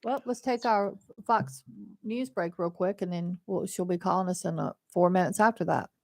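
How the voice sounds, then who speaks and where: A woman's voice: lowish voice, normal speed, a little mellow, no room sound. A woman co-hosting a local radio talk show who mostly chimes in with brief agreement. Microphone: studio microphone.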